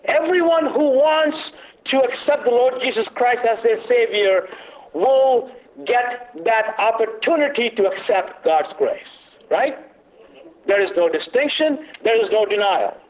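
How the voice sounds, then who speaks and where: A man leading a church workshop talk, loud but not booming, halting with many fillers and quizzing the audience. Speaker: workshop teacher. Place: meeting room, away from the podium. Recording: dull lo-fi recording.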